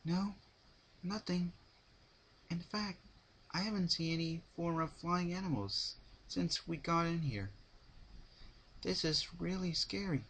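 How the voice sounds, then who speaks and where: A man voicing a timid, nervous, gentle young female pony with a hesitant manner. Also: on the high side, slow pace, long pauses, voice pushed up, full character voice. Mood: nervous, frightened.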